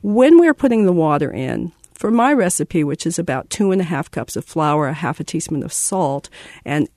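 A woman, a baking expert, walks a caller's question through step by step. Her pitch moves a lot as she explains. The sound is dry and close. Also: expressive, moderate pace, a little mellow, dry recording. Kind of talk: instructional interview.

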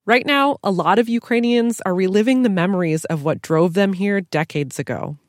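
Female radio reporter, scripted feature narration, even mid-range voice, dead-dry studio read. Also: medium pitch, dry recording. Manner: measured, clear reporting delivery.

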